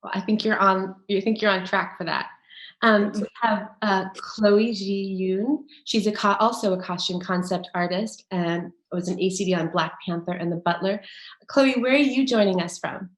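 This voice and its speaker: A woman's voice: medium pitch, moderate pace, reverberant, poor audio. A woman moderating an online panel, reading a prepared introduction and then welcoming guests. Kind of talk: moderating a video-call panel.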